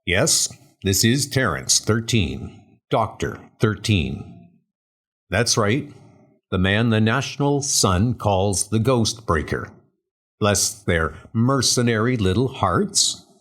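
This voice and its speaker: Man playing a wry, low-voiced ghost-hunting doctor. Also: fairly bright tone, exaggerated voice. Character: brisk, wry male paranormal investigator. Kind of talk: comic book dub acting.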